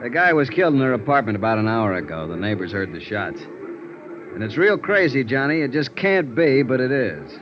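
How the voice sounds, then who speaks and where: An American actor playing a tired, exasperated police sergeant in a 1950s radio crime drama, gruff and pressing. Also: male voice, mid-range voice, big pitch swings, moderate pace, natural voice. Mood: agitated, urgent. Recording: dull, noisy vintage radio recording.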